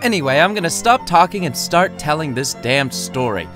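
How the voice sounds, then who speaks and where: Animated, higher-voiced male storyteller. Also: moderate pace, over music. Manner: irreverent, sardonic, foul-mouthed; theatrical, chatty, addresses the listener. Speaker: writer reading his own short story. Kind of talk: comedic storytelling narration.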